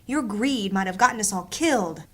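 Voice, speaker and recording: A woman's voice: mid-range voice, lively intonation, normal speed, husky. A woman playing a stern, principled member of a thieves' band in a fantasy audio drama. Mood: scolding.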